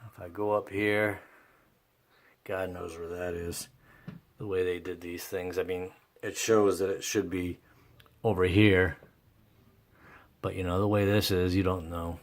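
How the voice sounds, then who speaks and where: Low-voiced man with a flat delivery. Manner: halting, thinking aloud, mildly profane. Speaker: host of a radio repair video. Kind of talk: casual unscripted repair commentary.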